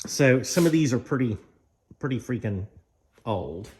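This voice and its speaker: Casual American male vlogger, mid-pitched, folksy and informal, thinking aloud, faint noise behind. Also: expressive, a little slow, a little mellow. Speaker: vlog host. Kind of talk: casual rambling garage vlog. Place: home garage workshop.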